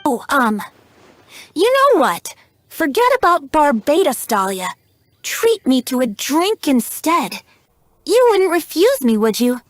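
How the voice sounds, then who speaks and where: A woman's voice: high voice, very animated, slow pace, no echo, full character voice. A woman voicing a youthful male bard in a video game, playful and sly, with a lilting, poetic, teasing delivery. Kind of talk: English video game voice acting.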